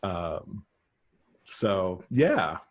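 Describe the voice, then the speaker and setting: A man's voice: low voice, sing-song, drawn-out words. A middle-aged man, a guest author, talking casually and a little wryly on a video call. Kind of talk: casual chat. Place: indoor room.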